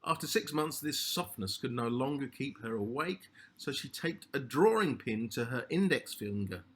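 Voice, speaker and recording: A man narrating a literary short story as its author, in measured, deliberate phrases with a slightly husky voice. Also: medium pitch, expressive, fairly bright tone.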